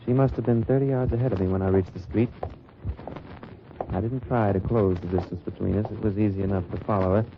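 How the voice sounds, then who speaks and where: Man voicing a grim wartime agent, low voice, clipped hard-boiled delivery, muffled old radio sound, steady hiss. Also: fast, natural voice. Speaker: narrator and lead, undercover agent. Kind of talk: 1950s radio drama acting. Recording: dull noisy old radio recording.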